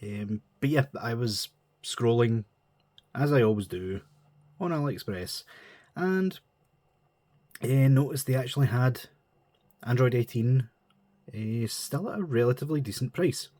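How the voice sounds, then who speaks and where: A man's voice: medium pitch, expressive, a little slow, long pauses, mellow, no room sound. A man with a stuffy nose from a cold, chatting in a rambling, informal way as he reviews a model kit. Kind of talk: casual chat.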